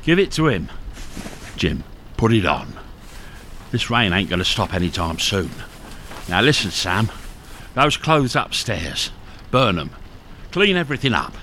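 A man's voice: lowish voice, sing-song, unhurried, long pauses, bright, forceful tone, exaggerated voice. A man playing a hunted, hardened smuggler in a radio thriller, terse, commanding and evasive. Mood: urgent, commanding. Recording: noisy radio drama with rain sounds.

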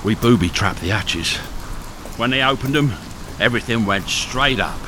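A man's voice: medium pitch, expressive, normal speed, close to an everyday voice. A man playing a hunted, hardened smuggler in a radio thriller, terse, commanding and evasive. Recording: noisy radio drama with rain sounds.

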